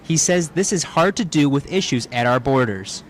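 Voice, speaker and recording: A man's voice: fairly high pitch, lively intonation, moderate pace. A man working as a television news reporter, delivering scripted reports and stand-ups.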